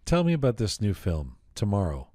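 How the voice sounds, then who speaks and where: A man with a low voice interviews a guest on a podcast. He speaks effusively and repeats himself as he goes. The sound is close and dry. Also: wildly swooping pitch, a little fast, mellow, husky, dry recording. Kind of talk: conversational interview.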